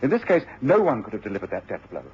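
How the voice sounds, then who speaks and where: An actor playing a brisk, assured detective in a radio drama, explaining with authoritative precision. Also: male voice, fairly low pitch, big pitch swings, slightly rough, full character voice. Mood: assured, satisfied. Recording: noisy, muffled old radio drama recording.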